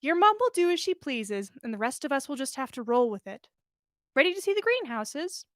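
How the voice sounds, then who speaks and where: A shy, mild young man from a storybook, acted out by a woman, hesitant and wary in his answers. The voice is high and moves up and down a lot, recorded dry and close. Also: very animated, a little fast, a bit bright, no room sound. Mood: resigned. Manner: hesitant, stammering.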